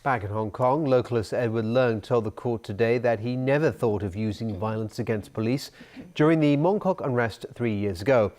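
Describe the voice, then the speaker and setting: Man news anchor, medium-pitched voice. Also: moderate pace, a little mellow. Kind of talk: scripted news reading.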